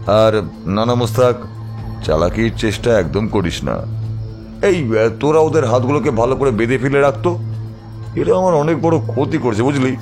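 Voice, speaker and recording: An actor playing a ruthless, vengeful crime boss in a horror audio drama, with a mocking, menacing manner. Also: male voice, medium pitch, a little mellow, soft background music, lightly acted. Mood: sly menace. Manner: menacing, mocking, drawn-out rhetorical questions.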